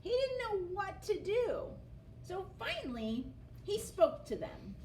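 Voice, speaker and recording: A woman reading a picture book aloud to children as an expressive storyteller who engages her listeners. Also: very high-pitched. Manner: expressive, pauses to ask listeners questions. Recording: distant mic, faint background noise.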